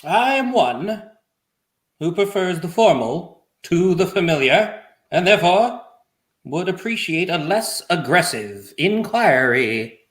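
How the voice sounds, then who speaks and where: A woman playing a stiff, formal gentleman scientist in a comic play table read, stilted and haughty, in an echoey recording. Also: lowish voice, big pitch swings, slow. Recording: echoey, roomy microphone sound.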